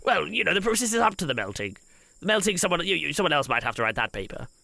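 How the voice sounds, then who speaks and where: Casting: male tabletop player, wry rambler, lots of vocal fry, swooping intonation, dry sound. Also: on the high side, big pitch swings, very fast, pushed and bright, no echo. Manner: hesitant, wordy, repeats himself, wry. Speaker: player in a tabletop role-playing show. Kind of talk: improvised in-character table talk.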